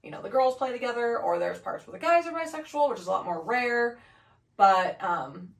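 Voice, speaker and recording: A young woman co-hosting a podcast from her home office, hoarse from laryngitis, chatting casually with many fillers. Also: on the high side, very animated, fairly quick. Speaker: podcast co-host. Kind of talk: casual chat. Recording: echoey room, distant mic.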